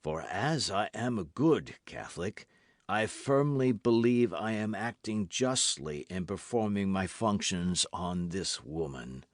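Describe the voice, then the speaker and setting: A man voicing a grim, calm executioner who speaks slowly and gravely. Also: fairly low pitch, no room sound, studio quality. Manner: slow, grave, deliberate. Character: grim executioner in red cloak, calm and pious.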